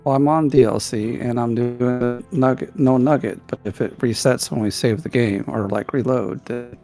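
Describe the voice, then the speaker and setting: A man's voice: medium pitch, steady tone, moderate pace, vocal fry, dark tone, soft background music. A man from Finland joining a casual voice chat, laid-back and easygoing.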